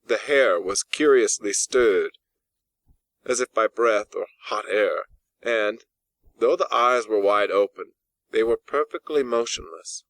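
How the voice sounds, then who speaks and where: A man with a fairly low voice narrates a classic tale as an audiobook. His delivery is deliberate and dramatic, leaning on pauses for emphasis. The recording is close and dry, with little room sound. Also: fairly bright tone, dry recording. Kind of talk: scripted storybook narration of a classic ghost tale.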